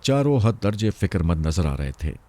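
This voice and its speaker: A man's voice: deep voice, sing-song, normal speed, mellow. A man narrating a scripted suspense story as a storyteller.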